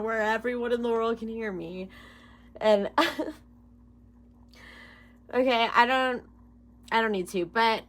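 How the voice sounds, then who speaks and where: A woman in her thirties chatting casually to her viewers as a tarot reader, rambling, swearing freely and breaking into giggles. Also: a little high. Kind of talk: casual rambling vlog chat.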